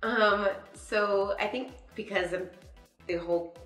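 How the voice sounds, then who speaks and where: A woman's voice: mid-range voice, takes it slowly, fairly bright tone. A woman in her thirties from Dallas whose first language was Spanish, chatting casually as an interview guest, laughing often and trailing off mid-sentence. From Dallas, USA. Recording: echoey, from far off, faint music.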